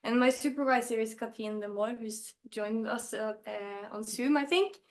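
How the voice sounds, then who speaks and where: A young woman from Norway with a Norwegian accent, giving a conference talk in her second language, hesitant with many pauses and restarts. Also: a little high. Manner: hesitant, many fillers and restarts, self-deprecating. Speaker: conference speaker. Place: conference hall.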